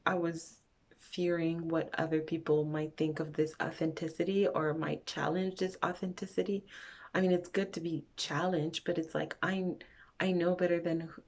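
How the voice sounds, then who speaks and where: A woman's voice: on the low side, darkish tone. A young woman from Montreal chatting frankly in a get-to-know-me vlog, wandering off on tangents. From Montreal, Canada. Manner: rambling, frank, goes off on tangents. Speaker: vlogger. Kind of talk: casual get-to-know-me chat.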